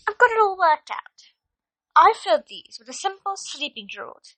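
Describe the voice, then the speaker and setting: A woman's voice: very high voice, sing-song, moderate pace, fairly bright tone, no echo, exaggerated voice. A woman playing a clever, bossy schoolgirl witch in an audio drama, assured and instructing. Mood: confident, scheming.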